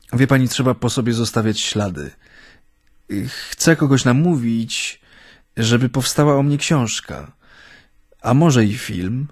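A man's voice: mid-range voice, a little mellow, no room sound, clean studio sound. An actor playing an ailing, middle-aged Japanese man settled in Poland, courteous and reflective, speaking hesitantly in a radio drama. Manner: halting, hesitant, searching for words. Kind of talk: naturalistic radio drama acting.

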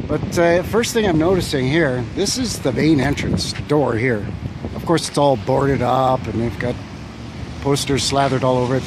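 A man walking outdoors with a handheld phone camera on a windy winter street, thinking aloud about an old building with many fillers and unsure asides. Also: fairly high pitch. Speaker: vlogger exploring old buildings. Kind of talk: casual walking narration. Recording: wind and street noise behind.

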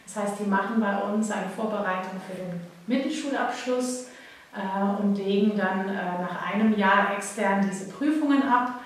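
A woman's voice: mid-range voice, normal speed, a little hiss or hum. A woman, a social worker, explaining courses matter-of-factly with frequent fillers. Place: office. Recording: very echoey office, mic at a distance.

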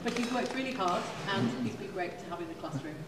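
A woman's voice: fairly low pitch, animated, quick pace, distant mic, noisy background. A woman teacher presenting a student with encouraging words. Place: large stone-walled hall indoors. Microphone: far camera. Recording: echoey hall with loud music over it.

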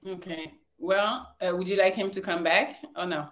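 A woman hosting a live call-in dating show over video call, brisk and dry as she directs the guests. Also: lowish voice, steady tone. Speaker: host. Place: indoor room. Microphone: video call, phone or laptop.

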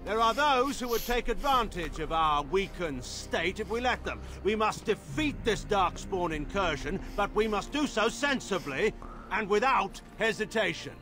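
An actor playing a stern, commanding nobleman regent in a fantasy game, declaiming forcefully. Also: high-pitched, expressive, normal speed. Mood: grave, commanding. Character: stern, commanding armored nobleman regent addressing assembled lords. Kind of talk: dramatic fantasy game acting. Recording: loud music behind.

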